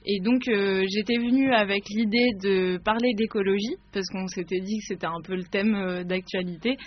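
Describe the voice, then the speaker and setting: A woman radio reporter presenting her piece and gently questioning children in casual talk. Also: medium pitch, steady tone, moderate pace. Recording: dull lo-fi sound, faint noise.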